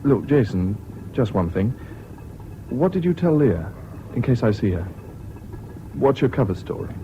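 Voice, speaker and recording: An actor playing a level-headed, concerned British officer in a 1970s radio drama, measured and practical in manner. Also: male voice, mid-range voice, big pitch swings, warm tone. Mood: friendly, careful. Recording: muffled old radio recording with noise.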